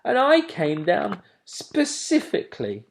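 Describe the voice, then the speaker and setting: A man voicing an excitable young male owlet who talks in a squeaky, insistent way. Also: slow pace, heavily acted. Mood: indignant.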